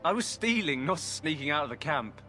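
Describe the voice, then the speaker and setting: Sly, starving prisoner. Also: male voice, fairly high pitch, very animated, moderate pace, pushed and bright, soft background music. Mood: sly, evasive. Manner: wheedling, shrugging, sly. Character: starving jailed deserter, cheeky scheming male soldier. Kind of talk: video game voice acting.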